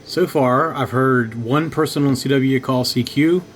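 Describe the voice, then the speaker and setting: A man hosting a casual, unscripted radio hobby video, talking in a halting, low-key way as he thinks aloud. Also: a little hiss or hum. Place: home radio shack.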